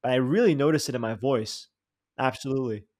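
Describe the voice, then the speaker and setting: A young man hosting a podcast, talking in a lively, slangy way with many restarts and repeated words. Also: medium pitch, a little fast. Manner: animated, slangy, says dude and bro, many restarts. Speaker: podcast host. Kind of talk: casual interview chat.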